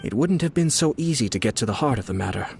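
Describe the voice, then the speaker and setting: An actor playing a composed, shrewd young nobleman in a game, courteous and measured, with polished formal speech and a quietly scheming, teasing air. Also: mid-range voice, expressive, creaky, a little hiss or hum. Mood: gracious, assured. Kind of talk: scripted game dialogue.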